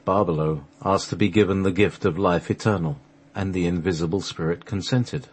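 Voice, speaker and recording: A man narrating a sacred text for a radio play, halting at times as he reads from the script. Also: low-pitched, fairly even intonation, husky. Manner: halting, stumbles over the script and restarts.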